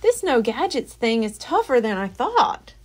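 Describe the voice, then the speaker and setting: An earnest little boy sheep from a storybook, played by a woman reader. He talks in a fussy way, fairly high, with pitch that moves a lot. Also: big pitch swings, a little slow. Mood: resigned. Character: earnest gadget-loving young male sheep.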